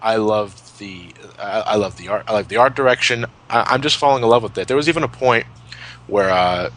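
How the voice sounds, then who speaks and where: A man in his twenties chatting casually as a podcast commentator, reminiscing in a halting, sweary way and often repeating his words. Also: on the low side, fairly bright tone. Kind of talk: casual retrospective chat, reminiscing.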